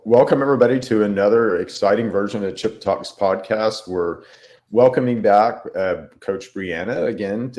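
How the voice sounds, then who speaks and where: A man with a very low voice hosts a casual health podcast. He talks haltingly, circling back and restarting his sentences. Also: a little mellow. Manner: halting, lots of fillers and restarts. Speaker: podcast host.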